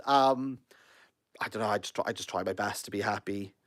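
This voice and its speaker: A man's voice: fairly low pitch, a little fast, a bit bright. A Welsh man in his thirties hosting a casual livestream, rambling and self-deprecating as he answers viewer comments. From Wales. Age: 30s. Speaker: livestream host.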